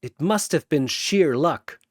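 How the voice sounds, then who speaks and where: A tough superpowered woman, acted by a male narrator in a battle story. She is stubborn and resolute, with animated pitch and a dry sound. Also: slow pace, dry recording. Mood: incredulous.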